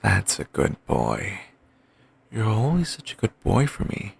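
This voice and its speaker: A man playing an obsessive, doting yandere boyfriend in an audio roleplay, talking softly and rambling on with endearments. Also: lowish voice, wildly swooping pitch, gravelly, slight background noise, full character voice. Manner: soft, murmuring, slow and rambling endearments.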